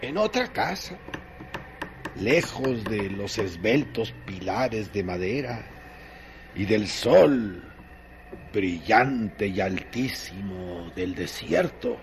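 A man narrating a radio drama from a literary script, with deliberate, dramatic phrasing and pauses. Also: on the low side, animated. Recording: noisy recording with clear background noise.

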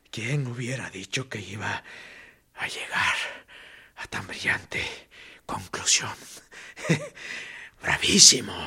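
A man's voice: mid-range voice, sharp tone, slightly rough, full character voice. An actor playing a tortured, tied-up male prisoner in a radio play, mocking and defiant, often sinking into a whisper.

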